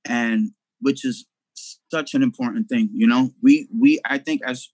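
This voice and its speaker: A man's voice: medium pitch, measured pace, darkish tone, no echo, low-quality recording. A middle-aged man from Puerto Rico, a filmmaker guest on a video call, speaking earnestly and proudly and often saying "you know". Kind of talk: casual video call interview.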